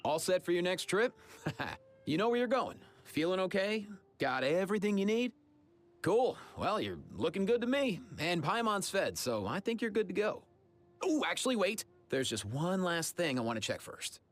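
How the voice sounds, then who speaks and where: An actor playing a friendly, easygoing young adventurer in a video game, with an upbeat, casual, chatty manner. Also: male voice, high-pitched, big pitch swings, moderate pace. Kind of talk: video game character lines.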